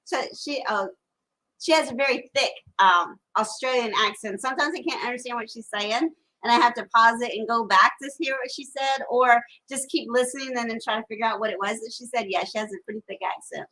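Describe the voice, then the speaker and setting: Woman host, fairly high voice, chatty and rambling with lots of ums, live stream chat from a porch in stormy weather on a phone camera. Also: brisk, bright, forceful tone, rough recording. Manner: chatty, rambling with many fillers. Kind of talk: casual live chat reading comments. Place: outdoors on a porch during a storm.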